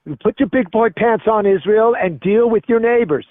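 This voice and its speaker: A man's voice: high-pitched, normal speed, narrow, tinny audio, crowd noise. A middle-aged or older man from Spain talking politics on a call, insistent and repeating himself for emphasis. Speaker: caller. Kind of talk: opinionated political conversation. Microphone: phone or voice call.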